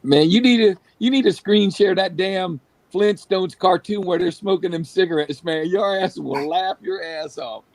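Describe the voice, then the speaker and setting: A man's voice: high-pitched, moderate pace, hardly any pauses, dry recording. A man chatting casually on a group call, slangy, profane and amused. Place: group voice call, close and dry.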